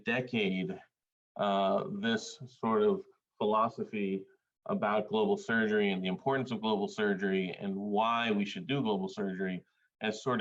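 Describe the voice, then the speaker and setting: A man from Bangladesh presents to a panel over a video call. His voice sits low, and he speaks with many pauses and filler sounds. The audio is dull and lo-fi. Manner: hesitant, many filler sounds, measured delivery. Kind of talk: academic presentation.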